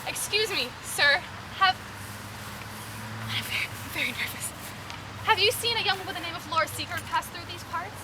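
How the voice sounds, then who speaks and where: A woman's voice: very high-pitched, lively intonation, fairly quick, long pauses. A woman playing an eager, polite, talkative guide in an outdoor historical dramatization, speaking in a theatrical, exclamatory way. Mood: nervous. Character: eager, nervous, talkative woman guide searching for Laura Secord. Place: outdoors in a park. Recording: clear outdoor park noise.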